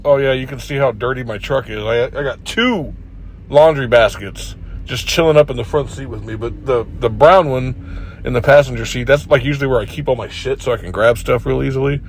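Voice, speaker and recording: A truck driver with a head cold talking in a laid-back, joking way with plenty of swearing, in a casual driving vlog. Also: male voice, mid-range voice, brisk, a little hiss or hum, rough recording. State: congested with a cold.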